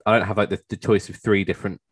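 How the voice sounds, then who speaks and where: A man's voice: on the low side, flat delivery, very fast, slightly rough, no echo. A man co-hosting a podcast, chatting casually about gaming in a blunt, sweary way. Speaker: podcast co-host.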